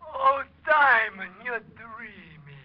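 An actor playing a goofy, hesitant, folksy American police sidekick in old radio comedy-drama style. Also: male voice, extremely high pitch, animated, slow, a little hiss or hum, exaggerated voice. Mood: swooning. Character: goofy, easily smitten male police sidekick named Otis. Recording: muffled vintage radio recording.

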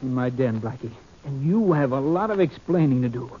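A man's voice: medium pitch, lively intonation, brisk, warm tone, slightly rough. A man playing a wary, puzzled businessman in a 1940s radio drama, reserved and serious, with an American accent. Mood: guarded. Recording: muffled, noisy old radio recording.

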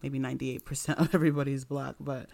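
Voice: mid-range voice, level tone, fast talker, gravelly, darkish tone. A young podcast co-host from Nigeria, still in school, asking questions in a friendly way and searching for words. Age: young adult. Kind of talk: casual interview chat.

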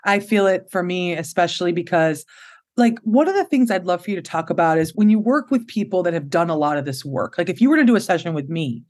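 Low voiced woman in her 30s, open and animated, laughs a lot, talking about herself in an interview. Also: fast. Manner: expressive, open, laughs often. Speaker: podcast guest. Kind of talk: candid conversational interview.

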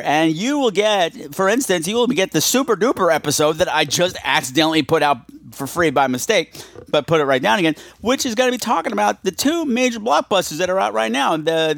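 Casting: male podcast host, fairly high voice, casual confessional monologue, self-deprecating rambler, close dry recording. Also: no room sound.

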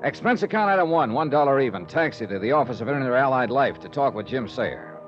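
A man narrating a 1950s radio detective drama in a wry, matter-of-fact tone. His pitch moves about a lot while he reads. The old radio transcription sounds dull and muffled, with faint music under it. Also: very animated, fast talker. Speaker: lead actor and narrator, investigator.

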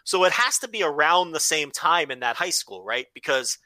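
A man's voice: fairly high pitch, expressive, normal speed, sharp tone, dry recording. A man co-hosting a casual comedy chat about films, joking and swearing as he rambles along. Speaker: podcast co-host.

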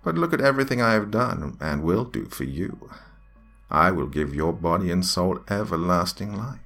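A man voicing an evil alien demon queen in a horror story, with a raspy, slithering, mock-tender and menacing delivery. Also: deep voice, lively intonation, normal speed, a bit bright, soft background music, voice pushed up, heavily acted. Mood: coaxing, commanding.

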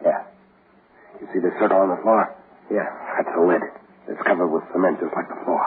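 An actor playing a tough, scheming convict in a 1940s radio drama, with gruff slangy talk. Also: male voice, low voice, fairly even intonation, fairly quick, close to an everyday voice. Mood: tense, gruff. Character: tough, scheming male convicts plotting a prison escape. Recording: noisy, muffled 1940s radio recording.

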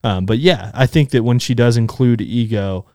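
A man's voice: medium pitch, normal speed, darkish tone, no echo, clean studio sound. A man co-hosting a book podcast, easygoing, often tagging his points with "you know" and "right?". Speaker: podcast co-host. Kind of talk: casual book discussion.

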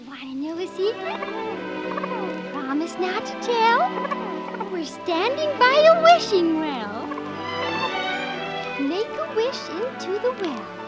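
An actress playing a sweet, innocent young princess with a childlike, dreamy manner in 1940s radio style. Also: female voice, very high-pitched, sing-song, slow pace, long pauses, exaggerated voice. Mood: dreamy, confiding. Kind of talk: 1940s radio acting and operetta singing. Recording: old radio, music behind.

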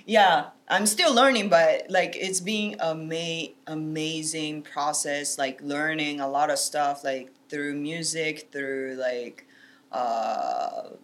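A woman's voice: deep voice, slow, fairly bright tone. A young woman from Japan with a Japanese accent, chatting casually and in slangy exclamations as a guest. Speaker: guest, singer and dancer. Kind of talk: casual chat.

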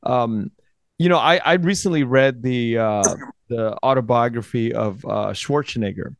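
A man's voice: mid-range voice, unhurried, vocal fry, no echo. A middle-aged man interviewing a guest, searching for words and restarting as he asks his questions. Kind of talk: casual interview conversation.

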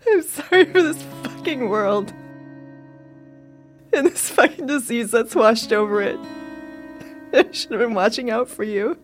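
A woman's voice: a little high, measured pace, long pauses, faint music behind, close to an everyday voice. A woman playing a grieving, loving mother in an audio drama, with a raw, emotional delivery. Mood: anguished, bitter. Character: Tessa, grieving, loving mother in a ruined world.

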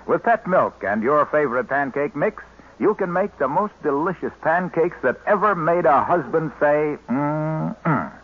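A male announcer from old-time radio pitches in a folksy, emphatic way. His voice is highish and swings lively up and down. The recording is thin and phone-like. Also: breathy edge. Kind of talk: 1940s radio advertising, scripted.

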